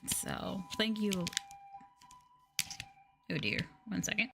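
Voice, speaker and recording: Female ASMR streamer: hushed, gentle chat that drifts between soft voice and whisper, close-miked. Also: mid-range voice, takes it slowly, creaky, slightly rough, faint music behind. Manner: gentle hushed delivery, often slipping into whisper. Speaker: streamer host of an ASMR livestream. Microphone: close microphone for headphone listening.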